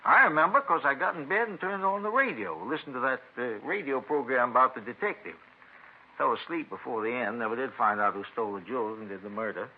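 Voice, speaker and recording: Gravelly old dad guy acting, stubborn country talk, voice swings around, old radio show. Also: medium pitch, animated, fast, a little hiss or hum, lightly acted. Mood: rambling, insistent. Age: older. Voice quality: gravelly, husky. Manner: folksy, rambling, emphatic. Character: folksy, stubborn old father of a grown daughter. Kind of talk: 1950s radio crime drama. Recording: muffled vintage radio recording.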